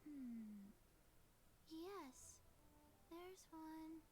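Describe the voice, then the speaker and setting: A woman voicing a small, sleepy undead girl in a video game, halting and deadpan. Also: high voice, full character voice. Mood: flat, reluctant. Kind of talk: video game character dialogue.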